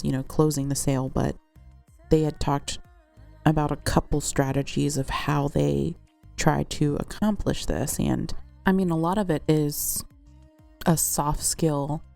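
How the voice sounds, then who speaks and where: Congested, low-pitched woman telling a story. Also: warm tone. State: congested from a cold. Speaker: host narrating a personal account. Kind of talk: casual storytelling narration.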